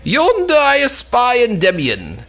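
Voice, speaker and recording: A man's voice: very high-pitched, wildly swooping pitch, a little slow, gravelly, a bit bright, full character voice. A man playing a scheming, suspicious lovelorn lady in an amateur cold reading of an old stage play. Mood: sly.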